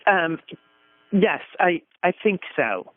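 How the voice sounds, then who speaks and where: A woman caller asking a question over the telephone in a deliberate, polite, halting way. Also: lowish voice, very animated, a little hiss or hum. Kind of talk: formal, careful question on a call. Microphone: telephone call. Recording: echoless, narrow telephone line.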